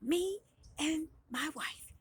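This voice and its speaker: A woman's voice: high voice, animated, drawn-out words, full character voice. A woman storyteller voicing a friendly young man, a brother talking casually and familiarly. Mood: cheerful. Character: friendly brother sharing happy news, casual and warm.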